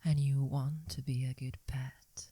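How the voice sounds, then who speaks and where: A woman playing a seductive, dominant vampire mistress in an ASMR hypnosis roleplay, whispering slowly and breathily with a teasing, coaxing, commanding manner. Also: very deep voice, little pitch movement, mellow, slightly rough, no room sound, full character voice. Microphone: close microphone in a dry space.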